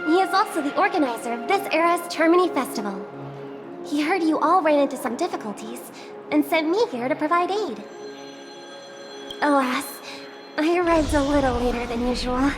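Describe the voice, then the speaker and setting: A woman's voice: high voice, normal speed, lightly acted. A woman playing a graceful envoy of a powerful family in a video game, speaking politely and formally. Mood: gracious, apologetic. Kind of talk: English video game voice acting. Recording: prominent music behind the voice.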